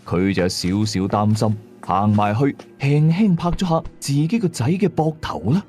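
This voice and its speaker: A man with a Hong Kong Cantonese accent tells a horror story in the first person, in a suspenseful, colloquial, expressive way. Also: mid-range voice, normal speed. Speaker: ghost story narrator. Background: music behind the voice.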